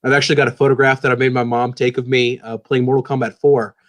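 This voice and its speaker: A man in his thirties talks fast and eagerly, his words tumbling out with plenty of fillers. His voice sits at a middle pitch. He was born in the US and grew up in Pakistan. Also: level tone. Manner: enthusiastic, talkative, many fillers. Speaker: guest author. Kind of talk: casual podcast chat.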